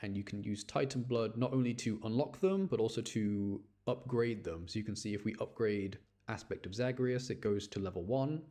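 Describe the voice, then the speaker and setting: A man streams a game in a fairly low voice. His commentary is casual and chatty, explaining things as he goes. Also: moderate pace, hardly any pauses, mellow. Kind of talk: casual live gameplay commentary.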